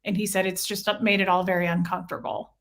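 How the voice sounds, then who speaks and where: A woman's voice: fairly low pitch, little pitch movement, quick pace, gravelly, a bit bright. A woman speaking as an interview guest, telling personal stories casually and earnestly, often acting out both sides of conversations.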